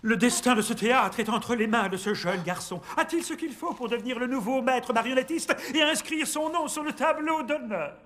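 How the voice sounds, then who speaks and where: High-voiced theatrical puppet showman. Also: lively intonation, moderate pace, hardly any pauses, a bit bright. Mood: solemn. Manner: grandiose, ceremonious announcing. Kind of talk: French animated film dub, theatrical storytelling.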